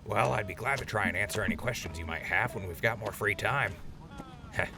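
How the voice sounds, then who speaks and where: Man voicing a deep, easygoing radio officer. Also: fairly quick, close to an everyday voice. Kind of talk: audio drama acting.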